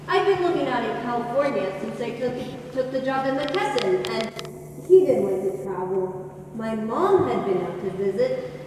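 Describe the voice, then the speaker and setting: A woman's voice: sits high, a little mellow. Young woman acting wistful daughter, distant echoey hall. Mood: wistful recollection. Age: teenager. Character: grown daughter recalling her dying father, wistful and tender. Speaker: high school drama performer. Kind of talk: rehearsed stage monologue. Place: large indoor hall at a school play. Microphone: distant camera. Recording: echoey hall from far off, faint noise.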